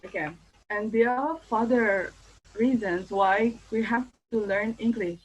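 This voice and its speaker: Slow, hesitant Indonesian-accented young woman. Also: fairly high pitch, rough recording. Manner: careful, hesitant, many fillers and restarts. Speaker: thesis candidate. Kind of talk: thesis defense presentation.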